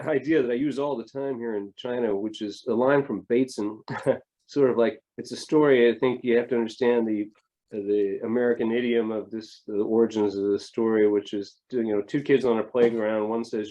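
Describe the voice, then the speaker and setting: A man's voice: mid-range voice, steady tone, a little fast, warm tone, lo-fi sound. A middle-aged man talking reflectively in an interview, with hesitant restarts and rambling thoughts. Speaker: family therapist, guest.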